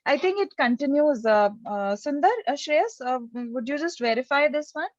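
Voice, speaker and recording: A woman's voice: high-pitched, animated, moderate pace, dry recording, dull audio. A woman presenting an insurance scheme on a video call, explaining step by step with many fillers and hesitations.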